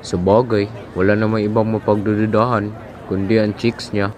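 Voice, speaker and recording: Man acting as a low-key gossip, deep-leaning monotone, dark soft tone, amateur radio drama. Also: moderate pace, a little hiss or hum, lightly acted. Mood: matter-of-fact. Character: low-key gossiping male neighbourhood pal.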